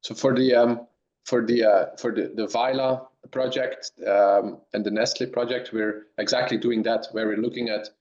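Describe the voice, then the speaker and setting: Halting Belgian man on a roomy call. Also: mid-range voice, steady tone, a little mellow. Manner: halting, many restarts and ums. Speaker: dairy science professor. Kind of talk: webinar Q&A answers. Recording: roomy, dull lo-fi sound.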